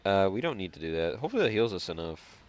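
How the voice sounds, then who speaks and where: Low-voiced, congested man commentating gameplay. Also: big pitch swings, quick pace, highs cut off. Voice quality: congested, stuffy nose. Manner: slangy, repeats phrases to himself. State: nasally congested, breathing through mouth. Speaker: gaming video host. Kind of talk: casual live gameplay commentary.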